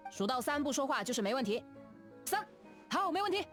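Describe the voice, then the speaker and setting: Cheeky, very animated young woman. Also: high voice. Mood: playfully insistent. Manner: colloquial, playful, drawn-out sentence particles. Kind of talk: game character voice line. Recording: background music behind the voice. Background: music.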